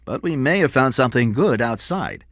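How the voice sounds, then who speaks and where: A man's voice: medium pitch, lively intonation, normal speed, fairly bright tone, no echo, studio quality, pitched above the performer's own voice. A man voicing a frank, practical police lieutenant in an audiobook crime story, speaking in an emphatic, businesslike way.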